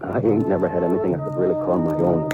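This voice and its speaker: An actor playing a bitter, wronged older brother, a vengeful cowhand in a radio western, with intense, rustic speech. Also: male voice, sits low, fairly even intonation, quick pace, lightly acted. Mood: bitter, desperate. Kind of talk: 1950s radio western acting. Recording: dull old radio recording, music behind.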